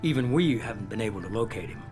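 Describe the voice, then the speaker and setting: Video game shadowy boss, male actor: middle-aged, calculating, authoritative, measured delivery, music underneath. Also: on the low side, lively intonation, lightly acted. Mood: cool, commanding.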